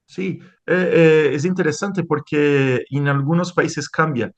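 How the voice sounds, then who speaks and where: A man's voice: a little high, takes it slowly, muffled recording. A man from Brazil with a Brazilian Portuguese accent, speaking Spanish as a second language in an interview, hesitant, with many restarts and fillers. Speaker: interviewed scientist. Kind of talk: conversational interview.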